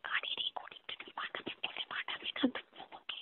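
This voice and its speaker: A person speaking in a hushed, breathy whisper, pleading through an intimate relationship argument on a phone call. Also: fairly quick. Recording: muffled tinny phone call recording.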